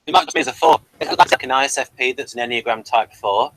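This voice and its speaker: A man's voice: medium pitch, quick pace, fairly bright tone, no echo, poor audio. A man hosting a casual, conversational discussion as a podcaster. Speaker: podcast host. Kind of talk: casual discussion of TV and personality types.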